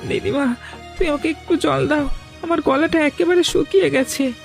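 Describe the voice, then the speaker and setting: A high, creaky young village boy, acted by a woman in a cartoon. He is earnest and pleads plaintively. Faint music plays behind the voice. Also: moderate pace. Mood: suffering, pleading. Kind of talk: cartoon voice acting.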